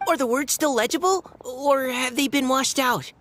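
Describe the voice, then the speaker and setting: A woman's voice: high-pitched, normal speed, a bit bright, slight background noise. A woman playing a composed, scholarly young adventurer in an anime game, articulate and analytical. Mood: focused. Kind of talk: anime game character acting. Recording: music behind voice.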